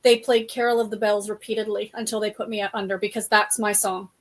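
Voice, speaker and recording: A woman chatting casually on a reading stream, telling stories in an animated way. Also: mid-range voice, fairly quick. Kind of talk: casual group chat.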